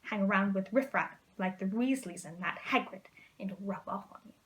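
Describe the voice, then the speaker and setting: A woman's voice: medium pitch, normal speed, lightly acted. A woman voicing a sneering, condescending schoolboy bully in a storybook reading. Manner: careless, condescending drawl.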